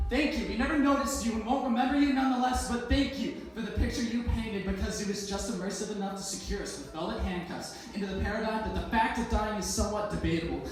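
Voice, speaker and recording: Male slam poet, high voice, rhythmic wordy delivery, distant in a very echoey room. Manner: rhythmic, densely worded recitation. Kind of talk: spoken-word poetry performance. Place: echoey indoor event space, live stage performance.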